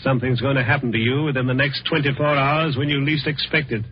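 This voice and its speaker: An actor playing a sly, mock-courteous older gentleman plotting murder, in the style of old-time radio suspense. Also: mid-range voice, fairly even intonation, fast talker, close to an everyday voice. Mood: gloating. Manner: mock-courteous, chuckling, savouring his words. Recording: muffled, noisy vintage radio recording.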